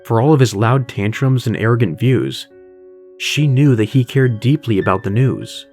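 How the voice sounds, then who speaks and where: A man narrates a scripted horror story in a medium-pitched voice. He reads as the storyteller of an audio drama. Music plays softly behind him. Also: animated, normal speed. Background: music bed with guitar.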